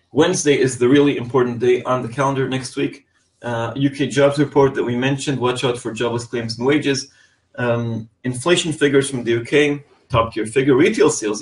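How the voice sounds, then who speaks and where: A man's voice: medium pitch, poor audio. A man in Europe giving an unscripted weekly currency market preview over slides, with frequent fillers and restarts. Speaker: market analyst.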